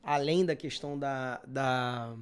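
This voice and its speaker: A man's voice: mid-range voice, steady tone, slow pace, smooth. A man from Brazil co-hosting a news podcast, chatting informally with lots of casual fillers. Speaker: podcast co-host. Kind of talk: casual news-discussion chat.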